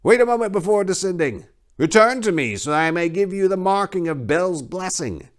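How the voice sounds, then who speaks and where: A man voicing a leering, menacing high priest of a dark god in a dark storybook reading, with a mocking, theatrical, drawn-out delivery. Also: very animated. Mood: gloating.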